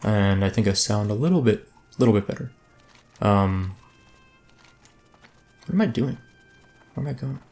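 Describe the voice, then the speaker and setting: A man's voice: fairly low pitch, lively intonation, normal speed, pauses often, darkish tone, soft background music. A man hosting a let's play, giving casual, rambling gameplay commentary that keeps breaking off mid-thought. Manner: rambling, self-interrupting, chatty.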